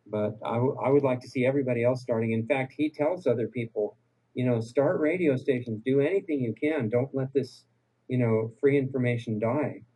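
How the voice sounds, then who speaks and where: A man's voice: medium pitch, fairly quick, mellow, husky, poor audio. A man speaking as a guest on a call-in radio show, earnest and encouraging, often restarting his sentences. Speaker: guest health researcher.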